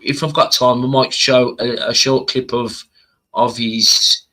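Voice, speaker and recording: A man with a bright, forward voice hosts a casual football livestream. He talks through player stats conversationally, hesitating and repeating himself as he goes. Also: mid-range voice, fairly even intonation, measured pace, poor audio. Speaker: football fan channel livestream host.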